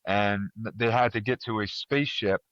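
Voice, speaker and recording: A man's voice: fairly low pitch, normal speed, a bit bright, no room sound. A man hosting a casual trivia chat, talking conversationally to his panel over a slightly dull microphone. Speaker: podcast host running a trivia quiz.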